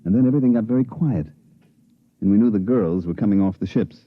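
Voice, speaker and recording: A man's voice: lowish voice, fast talker, no echo, natural voice. An American man playing a wry huckster who tells his own story colloquially in 1950s radio drama style. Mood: wry, satisfied. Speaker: narrator and lead actor. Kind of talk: 1950s American radio drama acting, first-person narration. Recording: muffled 1950s radio recording, music.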